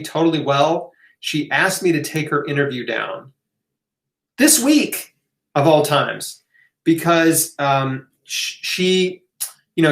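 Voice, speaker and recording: A man's voice: mid-range voice, fairly bright tone. A man hosting a casual live Q&A, answering questions off the cuff in a conversational way with emphatic asides. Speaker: host of a live health Q&A. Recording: roomy, echoing room sound.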